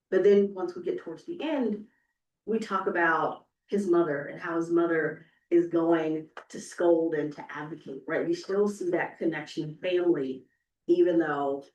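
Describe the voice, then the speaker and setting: A woman's voice: lowish voice, lo-fi sound, a little hiss or hum. A woman giving explanatory commentary as a presenter, often checking in with her listeners as she goes. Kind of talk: explanatory public talk. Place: live event in a reverberant room. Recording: echoey room, far from the mic.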